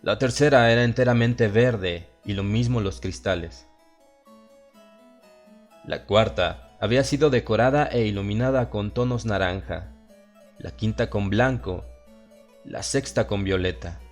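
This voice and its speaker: Low-voiced Latin American man narrating horror over music. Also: a little slow. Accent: Latin American Spanish. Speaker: audiobook narrator. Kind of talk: dramatized horror audiobook narration.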